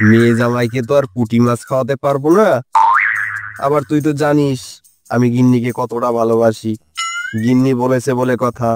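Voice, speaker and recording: A man's voice: mid-range voice, distant mic, background noise, natural voice. A man voicing a stingy, whining older father-in-law who grumbles in a comedy cartoon.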